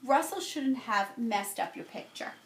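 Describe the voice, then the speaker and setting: A woman voicing a calm, fair-minded father from a picture book, gently questioning and reasoning. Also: a little high, a little slow. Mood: firm but fair. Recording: roomy, echoey home-style recording.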